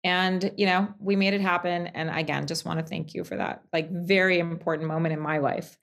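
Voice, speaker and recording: A woman's voice: fairly low pitch, steady tone, fairly quick, gravelly, a bit bright. A woman hosting a podcast, asking questions and thanking her guest, with frequent restarts. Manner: appreciative, hesitant with restarts. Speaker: podcast host. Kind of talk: interview questions.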